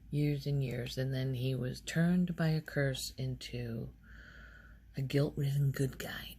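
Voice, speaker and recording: Woman, soft-spoken ASMR host, near-whisper, halting rambling delivery, creaky, faint background noise. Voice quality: soft, low-volume voice, often near whisper.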